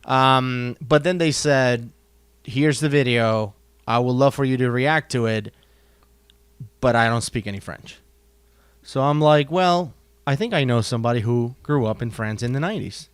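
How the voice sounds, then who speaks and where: A man hosting a casual chat, animated and quick to jump in with questions. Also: mid-range voice, smooth, no room sound. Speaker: host.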